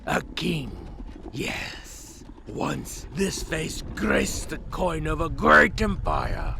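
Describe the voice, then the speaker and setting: A man's voice: on the high side, vocal fry, background noise, exaggerated voice. An actor playing an ancient, imperious vampire king who speaks in grandiose, menacing pronouncements in a radio play.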